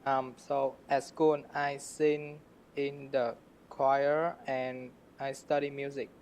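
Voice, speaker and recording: A male student from Vietnam introduces himself slowly, his voice flat and even, with hesitant fillers. Faint room ambience sits behind him. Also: mid-range voice, slight background noise. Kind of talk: short self-introduction.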